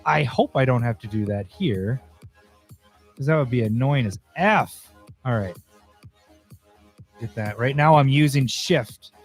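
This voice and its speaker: A slightly hoarse man, losing his voice, talking casually through a livestream as host while he edits, thinking aloud and getting easily annoyed. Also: mid-range voice, animated, a little slow, long pauses. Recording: faint music behind voice.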